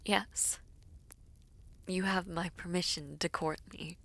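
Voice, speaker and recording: Woman acting a slow-spoken, poised fantasy princess. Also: on the low side, fairly even intonation, a bit bright. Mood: tender, teasing. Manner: formal, courtly phrasing. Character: poised, teasing, affectionate villainess princess in fantasy romance. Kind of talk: romance audio roleplay acting.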